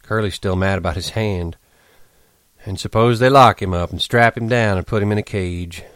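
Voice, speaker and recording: A man voicing a calm, respected ranch leader who speaks quietly and steadily. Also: fairly low pitch, moderate pace, clean tone, dry recording, lightly acted. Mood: grave.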